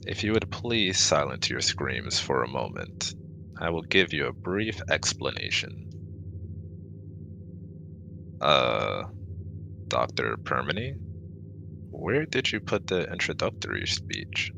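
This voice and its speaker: A man's voice: a bass voice, sing-song, unhurried, creaky, background noise, heavily acted. A man playing a formal, easily exasperated alien exobiologist with stiff, precise wording in a scripted ASMR audio roleplay.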